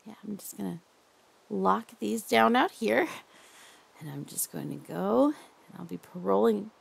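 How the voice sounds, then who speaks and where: A woman voicing a possessive, obsessive female captor in an audio roleplay, crooning softly and slipping into whispers. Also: mid-range voice, animated, measured pace, gravelly, husky, exaggerated voice. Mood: cooing. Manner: soft crooning, slipping into whispers and half-sung lines.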